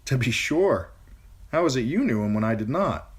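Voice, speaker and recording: A man's voice: mid-range voice, very animated, normal speed, breathy edge, voice pushed up. A man voicing a shrewd, sardonic nobleman who speaks dryly and with command. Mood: amused. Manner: dry, sardonic.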